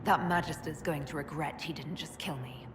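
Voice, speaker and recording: A woman playing a young elf mage heroine in a fantasy video game. Her slightly low voice is firm and composed, heard in a reverberant hall with noisy ambience. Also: moderate pace, close to an everyday voice. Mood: resolute. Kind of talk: dramatic fantasy game voice acting.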